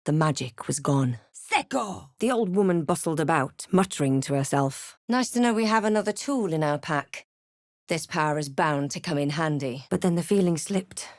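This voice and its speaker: A woman playing a wry, guarded adventurer heroine in a fantasy game, with a measured, dramatic delivery. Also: low voice, lively intonation, a little mellow, dry recording, studio quality. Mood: forceful incantation, then wry, watchful. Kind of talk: scripted fantasy game voice lines and narration.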